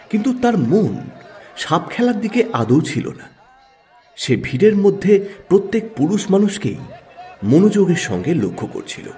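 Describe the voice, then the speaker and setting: Expressive, mellow male storyteller. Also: high-pitched. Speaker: narrator and channel host. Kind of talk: scripted dramatic story narration. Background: background music.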